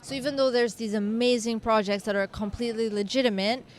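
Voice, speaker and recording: A woman's voice: on the high side, steady tone, fast talker. A woman hosting an interview, agreeing with her guest and asking follow-up questions. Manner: affirming, asks questions. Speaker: interview host. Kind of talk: conversational interview.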